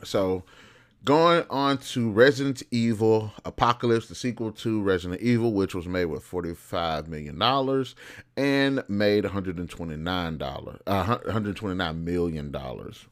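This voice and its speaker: A man's voice: deep voice, moderate pace. A man hosting a review show with casual, opinionated commentary, speaking informally and often repeating and rephrasing himself as he goes. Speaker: host of a film review show.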